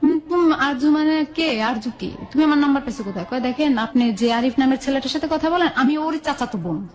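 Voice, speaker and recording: Bangladeshi woman, kinda high voice, chatty interview guest telling her life story, acts out who said what. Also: expressive, quick pace. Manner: colloquial, quotes conversations back and forth. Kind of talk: conversational personal storytelling with reported dialogue.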